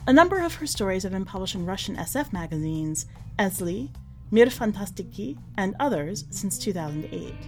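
A woman reading a short story as a podcast narrator, with wry, deliberate emphasis in an expressive scripted delivery. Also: medium pitch, faint music behind.

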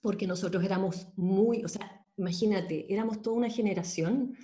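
A woman's voice: mid-range voice, fairly quick, mellow. A woman in her thirties with a Chilean Spanish accent, an actress telling her story as an interview guest with lots of fillers and restarts. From Sweden. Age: 30s. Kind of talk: casual interview.

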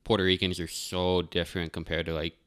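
A man's voice: low voice, flat delivery, a little fast, fairly bright tone, clear, resonant tone, dry recording, studio quality. A man in a casual podcast chat, talking in a slangy, informal way with plenty of swearing.

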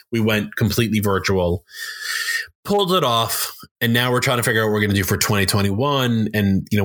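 A man from New York, a guest on a podcast, telling stories in a fast, animated way with lots of fillers. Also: fairly low pitch. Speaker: podcast guest, business owner. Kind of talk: casual podcast conversation.

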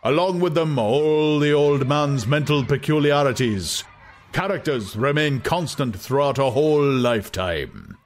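A grandiose older ruler of the world , acted by a male audiobook reader, holds forth in a raised, oratorical voice. He lectures in measured phrases and relishes every repetition. Clear noise runs under the recording. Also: medium pitch, expressive, voice pushed up. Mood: lecturing. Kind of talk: dramatized audiobook acting.

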